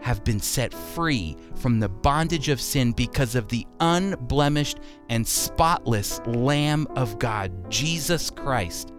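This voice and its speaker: A man's voice: mid-range voice, animated, slow, hardly any pauses, music in the background. A man giving earnest, emphatic Bible teaching in the style of a sermon, using rhetorical questions. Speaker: Bible teacher and preacher on a religious programme.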